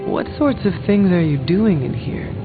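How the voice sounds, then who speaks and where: Polite, inquisitive hero for a video game: measured delivery, narrow muffled recording, music underneath. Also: male voice, high voice, expressive. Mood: earnest, probing. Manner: polite, measured, formal phrasing. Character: earnest, measured male hero, curious newcomer to the team. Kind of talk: video game dialogue acting.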